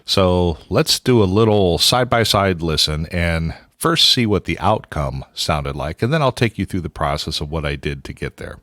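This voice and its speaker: A man with a very deep voice hosts a casual audio tutorial. He explains each step in an informal, conversational way. The sound is very dry, with no room around it. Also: animated, normal speed, hardly any pauses, a bit bright, no room sound.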